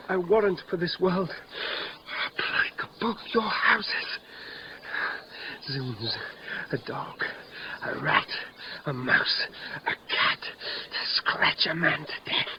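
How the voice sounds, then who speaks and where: An actor playing a young, defiant, bitterly witty duellist in a classical radio drama, sardonic and declamatory. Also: male voice, on the high side, slight background noise. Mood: bitter, dying. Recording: dull lo-fi radio drama with music.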